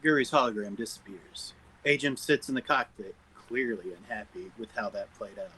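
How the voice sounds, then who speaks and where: A man's voice: fairly low pitch, moderate pace, pushed and bright, slight background noise, low-quality recording. A man reading stage directions as the narrator of a scripted table read.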